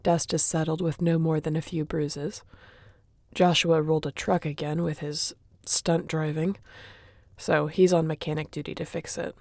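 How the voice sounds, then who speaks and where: A woman's voice: sits low, flat delivery, normal speed, vocal fry, darkish tone, dry recording. A woman playing a sassy, competitive young shifter in an audio roleplay, talking in a casual, teasing way. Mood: relaxed. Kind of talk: audio roleplay acting, addressing the listener.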